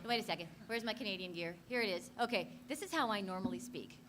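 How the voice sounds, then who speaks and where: A woman's voice: medium pitch, expressive, quick pace, crowd noise. A woman from South Africa, a voice actress on a convention panel, chatting in a self-deprecating way and laughing often. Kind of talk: casual panel chat. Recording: noisy convention hall mic.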